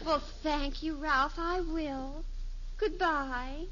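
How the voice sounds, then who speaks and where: A woman's voice: sits high, fairly even intonation, drawn-out words. A woman playing a fickle, pleading teenage daughter in a 1950s radio sitcom. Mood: apologetic. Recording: dull old radio recording, faint noise.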